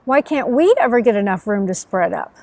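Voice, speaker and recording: A woman's voice: mid-range voice, animated, brisk, a little mellow, close to an everyday voice. A woman voicing plaintive, indignant plants and animals complaining in a children's story.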